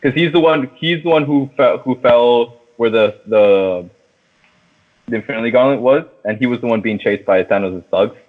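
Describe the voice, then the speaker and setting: A man's voice: medium pitch, normal speed. Man chatting casually over a phone-like line. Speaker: podcast co-host. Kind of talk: casual film discussion. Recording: thin, phone-like sound.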